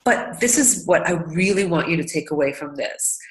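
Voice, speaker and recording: A woman's voice: fairly low pitch, expressive, normal speed, reverberant, low-quality recording. A woman with a congested, stuffy nose coaching new team members on a training call, upbeat and encouraging, often checking in with "right?" and "okay?". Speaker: business coach.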